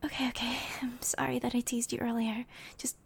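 A young woman speaking in a slow, breathy whisper in an intimate audio roleplay. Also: fairly high pitch, little pitch movement.

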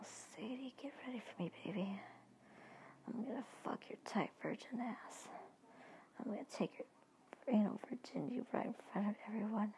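A woman putting on a crude, domineering male lover in a husky whisper, taunting and commanding. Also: medium pitch, a little mellow, low-quality recording.

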